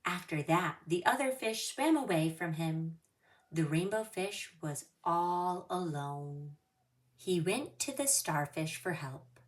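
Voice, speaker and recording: A woman hosting a children's story time, reading aloud with deliberate, expressive phrasing, a little away from the microphone. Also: fairly low pitch, reverberant, distant mic.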